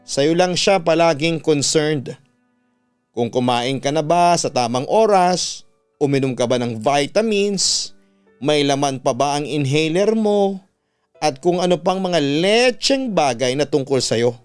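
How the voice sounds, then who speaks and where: A man's voice: on the high side, animated, unhurried, clear, resonant tone, soft background music. A Filipino man voicing a resentful young older sister with an irritated, accusing manner in a narrated radio drama story. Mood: bitter, aggrieved. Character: resentful, jealous young older sister, bitter and sharp-tongued.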